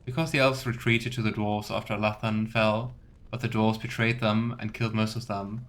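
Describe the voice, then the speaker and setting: A man's voice: lowish voice, level tone, normal speed, a bit bright. A man giving casual, musing let's play commentary with wry teasing asides. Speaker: game streamer.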